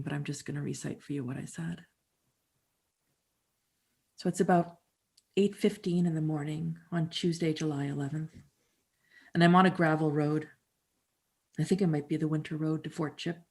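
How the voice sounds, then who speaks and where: A woman's voice: sits low, fairly even intonation, vocal fry, darkish tone, husky. Woman in her fifties telling a story. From Canada. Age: 50s. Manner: intimate, conversational storytelling with dramatic pauses. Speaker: storyteller and musician. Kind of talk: theatrical first-person monologue.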